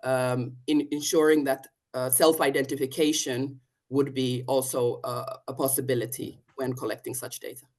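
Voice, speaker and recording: A woman's voice: a bass voice, level tone, normal speed, darkish tone. A woman from Finland, a policy officer answering a panel question on a video call, hesitant, with many fillers and restarts. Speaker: European Commission policy officer.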